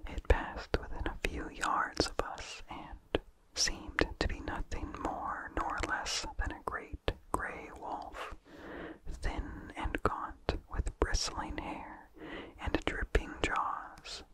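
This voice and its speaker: Very slow, unvoiced ASMR whisper. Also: rough recording. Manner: slow, hushed, deliberate phrasing. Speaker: narrator reading a vintage horror story. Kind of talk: ASMR whispered story reading.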